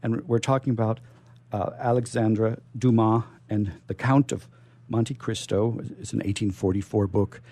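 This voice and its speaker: Mellow-voiced man hosting casual radio. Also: fairly low pitch, steady tone, normal speed, no room sound. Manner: enthusiastic. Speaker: radio host. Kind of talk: casual on-air interview chat.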